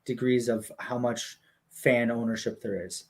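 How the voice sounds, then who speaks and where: A man explaining a business plan in casual conversation, steady and full of fillers like "you know" and "um". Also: medium pitch, measured pace. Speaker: guest explaining a hockey league business model.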